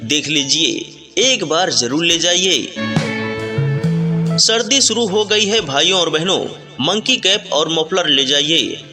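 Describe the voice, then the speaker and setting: A man reading a scripted market sales announcement as a street loudspeaker announcer, plainly urging buyers in a repetitive pitch. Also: expressive, slow pace. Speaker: street loudspeaker announcer for a winter clothing seller. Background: music.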